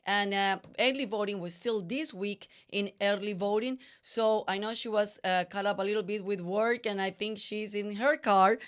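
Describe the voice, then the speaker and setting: Colombian-accented woman hosting a live talk show, chatty, smooth and resonant. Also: medium pitch, fairly even intonation, normal speed, few pauses. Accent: Colombian Spanish. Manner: warm chatty, non-native grammar. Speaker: show host.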